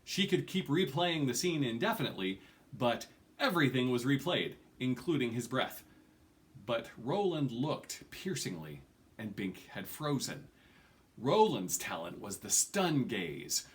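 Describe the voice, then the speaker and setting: A man's voice: medium pitch, very animated, measured pace. A middle-aged man reading a fantasy novel aloud at home, expressively, stressing key words. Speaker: amateur book reader. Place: small furnished room at home.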